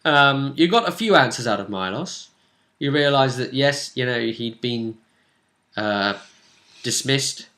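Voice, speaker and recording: A man's voice: medium pitch, lively intonation, a little slow, bright, forceful tone. A British man speaking as game master, casually recapping a tabletop role-playing session and dropping teasing hints.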